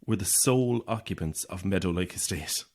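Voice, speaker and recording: A man's voice: low voice, moderate pace, a little mellow. An actor playing an anxious, isolated young Irish man, edgy and sardonic, in a naturalistic audio horror drama. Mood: uneasy, subdued.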